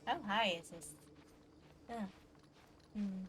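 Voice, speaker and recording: A woman's voice: mid-range voice, takes it slowly, a bit bright, a little hiss or hum. A woman livestreamer giving casual commentary as she plays a game, with playful murmured asides. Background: game music.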